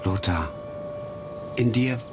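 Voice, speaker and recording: An actor playing an eerie, calm old fish spirit who coaxes in a poetic, incantatory way in a radio drama. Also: male voice, on the low side, takes it slowly, very noisy. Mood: luring, eerie. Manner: incantatory, coaxing. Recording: loud music underneath.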